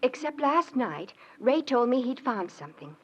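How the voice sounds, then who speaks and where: A woman's voice: high-pitched, sing-song, moderate pace, a bit bright, slightly rough, exaggerated voice. A worried homesteader mother. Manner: anxious, earnest. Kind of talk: 1940s radio acting. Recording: dull old radio recording.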